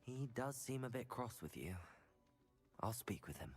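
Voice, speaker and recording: A man playing a calm, earnest teenage student wizard hero in a scripted video game. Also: medium pitch, unhurried, faint music behind.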